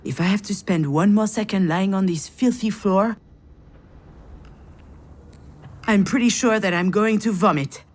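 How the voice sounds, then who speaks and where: A woman's voice: medium pitch, normal speed, a little mellow, natural voice. An actress playing a frazzled, self-deprecating woman who narrates her inner monologue in a visual novel game, sardonic and confessional. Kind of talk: visual novel voice acting, first-person narration.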